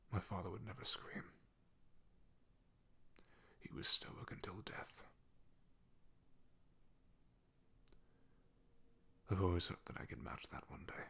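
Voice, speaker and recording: Guy acting as a haunted devoted knight in asmr roleplay, deep voice, super croaky and breathy, hesitant, hushed. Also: exaggerated voice. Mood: grave, haunted. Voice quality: husky, gravelly, breathy half-whisper. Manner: hesitant, halting, confiding in hushed tones. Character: loyal male knight, solemn, haunted, devoted to his princess. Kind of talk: ASMR fantasy roleplay monologue.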